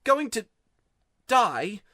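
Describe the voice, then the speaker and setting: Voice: wildly swooping pitch, moderate pace, a bit bright, dry recording. A storyteller doing a miserable, worked-up schoolboy. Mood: upset. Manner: pleading, worked up, raised pitch. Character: grubby, miserable schoolboy, tearful and excitable. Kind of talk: audiobook character voice.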